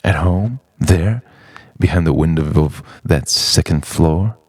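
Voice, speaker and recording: A man narrating an introspective spoken-word monologue in halting, musing phrases full of rhetorical questions. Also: rumbling low, darkish tone, slightly rough, dry recording. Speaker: narrator of a personal art film.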